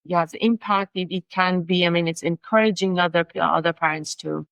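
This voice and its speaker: Interview guest, middle-aged woman, Persian accent, Farsi native, lowish voice, talks haltingly over a video call. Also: fairly even intonation, gravelly, fairly bright tone, dry recording. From Iran. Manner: halting, searching for words, frequent restarts. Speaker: guest, designer and language coach. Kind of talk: casual interview conversation.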